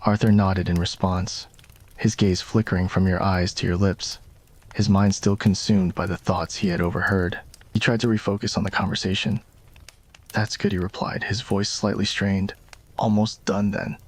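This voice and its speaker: A man's voice: deep voice, level tone, moderate pace. A man reading scripted narration for a romantic ASMR roleplay story.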